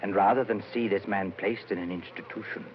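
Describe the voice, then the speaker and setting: An actor playing an eerie, sorrowful dead madman poet with a slow, portentous, haunting delivery in old-time radio horror style. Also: lowish voice, fairly even intonation, natural voice. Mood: solemn, sorrowful. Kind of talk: 1940s radio horror acting. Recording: muffled, hissy old radio recording.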